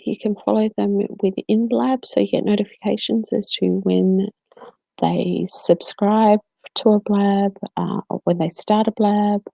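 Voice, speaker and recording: A woman's voice: mid-range voice, steady tone, measured pace, gravelly, mellow, no room sound, highs cut off. A woman from South Australia with a South Australian accent, co-hosting a casual live-stream Q&A and chatting to viewers, with plenty of ums. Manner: chatty, many ums, answers viewers by name. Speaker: co-host.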